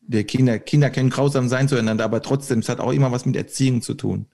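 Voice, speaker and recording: A middle-aged man with a German regional dialect talks on a podcast, circling his points with many restarts and short laughs. His voice has a gravelly, creaky edge at an average pitch. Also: steady tone, fast, dark tone. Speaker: podcast guest, author. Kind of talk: casual podcast conversation.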